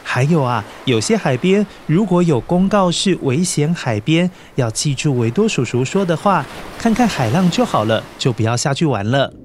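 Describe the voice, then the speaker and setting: Higher-voiced Taiwanese man talking to children. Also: very animated, normal speed, few pauses, a little hiss or hum. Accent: Taiwanese Mandarin. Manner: gentle coaxing, simple explanatory talk to children. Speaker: children's story podcast host. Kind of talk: storytelling addressed to young children.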